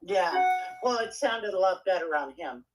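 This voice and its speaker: A woman speaking as a guest in a casual chat, earnest and halting, often repeating her words. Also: on the low side, lively intonation, soft background music, poor audio. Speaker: guest speaker on a live audio room.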